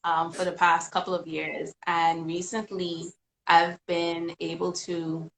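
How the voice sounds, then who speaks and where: Casual woman guest, low-pitched, easygoing, echoey room sound. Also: level tone, takes it slowly, vocal fry, slight background noise. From Bahamas. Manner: easygoing, laughs often. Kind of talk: casual live-stream chat.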